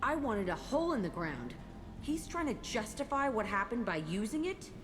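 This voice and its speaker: An actress playing a tough, bitter young ex-prisoner in a video game, blunt and hard-edged. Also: female voice, fairly high pitch, big pitch swings, moderate pace, in a large hall, over music. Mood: angry, defiant.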